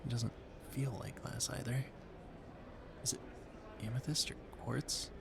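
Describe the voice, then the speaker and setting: A man playing a polite, flustered gentleman at a Gilded Age ball in an audio roleplay, effusive and rambling, stammering through formal talk. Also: mid-range voice, measured pace, vocal fry, noisy background. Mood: awestruck. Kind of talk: audio roleplay addressed to the listener.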